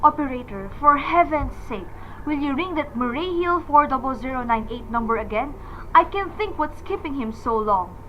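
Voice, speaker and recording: Dull, hissy radio-drama sound carries a woman's performance of a fretful, imperious invalid wife. She is high-voiced and nervous, given to breathless melodramatic exclamation. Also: expressive, moderate pace. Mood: exasperated, frantic. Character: nervous, demanding bedridden wife, frightened and imperious. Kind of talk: old-time radio suspense acting. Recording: dull lo-fi audio with hiss.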